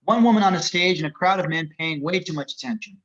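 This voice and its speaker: Higher-pitched male narrator, dull audio. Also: fast, a bit bright. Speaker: narrator of action descriptions. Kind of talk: scripted narration at table read.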